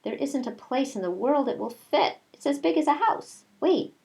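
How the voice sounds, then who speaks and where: A woman's voice: high voice, lively intonation, normal speed, vocal fry, warm tone, voice pushed up. A woman voicing a worried, exclaiming female character from a children's storybook. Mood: excited realization. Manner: exclaiming, fretful. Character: worried storybook woman fretting over a giant squash.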